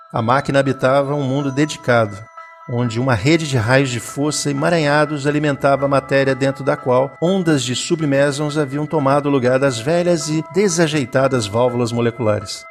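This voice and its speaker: A man's voice: mid-range voice, brisk, soft background music. A man with a Brazilian accent narrating a scripted science fiction audio drama.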